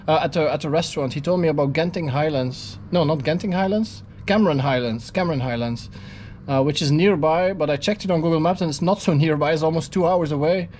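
Male travel vlogger, thirties, slightly higher voice, casual thinking-aloud delivery, noisy handheld camera audio. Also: darkish tone. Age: 30s. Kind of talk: casual spoken-as-it-happens travel vlog narration. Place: city street, then hotel lobby, lift, corridor and room. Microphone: action camera held at arm's length.

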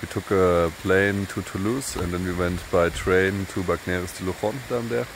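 A young man from Germany talking to his phone camera outdoors in the mountains, in a relaxed, halting way. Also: low voice, background noise. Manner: relaxed, halting, plain-spoken. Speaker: hiking vlogger. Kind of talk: casual vlog narration. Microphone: phone at arm's length.

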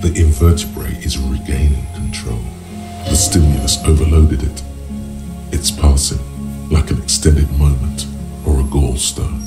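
A man voicing the brooding inner narration of a detective game, gravelly, deliberate and poetic. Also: very deep voice, steady tone. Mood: measured, mesmerized. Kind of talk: scripted second-person game narration. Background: music.

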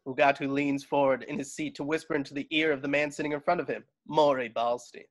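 A man reads out stage directions as narrator of an online table read. His voice sits a little higher than most men's and is picked up over a video call. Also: fairly even intonation, fast.

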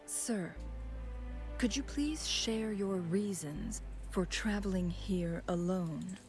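An actress playing a poised, courteous young noblewoman in an anime game, speaking in a measured, formal, gentle way. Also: mid-range voice, lightly acted. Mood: courteous, composed. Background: game music.

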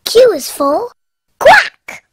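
A cheerful little cartoon piglet girl, with a very high, bouncy voice that leaps all over. She recites letters slowly and carefully in a giggly sing-song over soft music. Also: huge pitch swings, no room sound, clean studio sound, full character voice. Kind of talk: cartoon alphabet lesson.

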